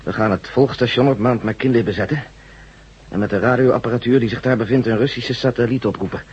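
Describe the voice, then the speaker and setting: A male actor playing a groggy general in a science-fiction radio drama, hesitant and musing as his wits slowly return. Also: mid-range voice, fairly even intonation, darkish tone, husky. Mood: dazed, cooperative. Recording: muffled old radio drama recording.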